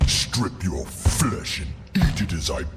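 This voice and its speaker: A man's voice: low-pitched, huge pitch swings, slow, over music, heavily acted. A man voicing a huge, bloodthirsty demon with a menacing roar in an audio drama. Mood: savage menace.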